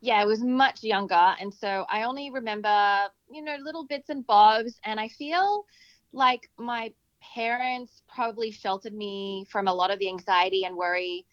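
A woman's voice: fairly high pitch, normal speed, smooth, no echo, lo-fi sound. A woman raised in Southeast Asia and Australia, answering interview questions in an upbeat, chatty way. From Malaysia, raised in Indonesia, Australia and Singapore. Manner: upbeat, chatty, with playful asides. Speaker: guest, nonprofit founder. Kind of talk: casual conversational interview answers.

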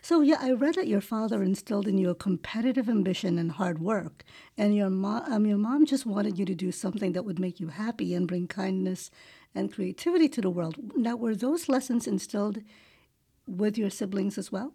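A woman's voice: mid-range voice, brisk, mellow. A woman hosting an interview show, reading a prepared introduction and then asking questions. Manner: reads intro smoothly, then short friendly prompts. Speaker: podcast host.

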